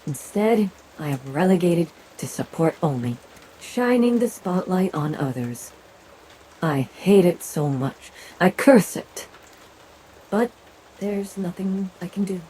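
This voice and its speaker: Woman doing a cold bossy handler lady, deep and slow, menacing, audio drama with rain noise. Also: animated, warm tone, poor audio. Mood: bitter envy. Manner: stern, deliberate, menacing. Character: controlling, bitter female talent handler demanding obedience.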